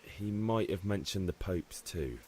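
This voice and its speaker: An actor playing a polite, hesitant young blacksmith's son in a video game. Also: male voice, low-pitched, expressive, unhurried, a little mellow, slight background noise. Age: young adult.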